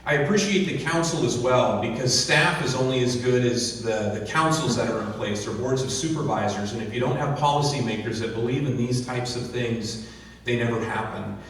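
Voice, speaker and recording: A man's voice: medium pitch, normal speed, fairly bright tone, a little hiss or hum. A man giving an earnest thank-you speech at a ribbon-cutting ceremony, with many fillers and restarts. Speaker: county official giving a speech. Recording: distant echoey outdoor PA sound.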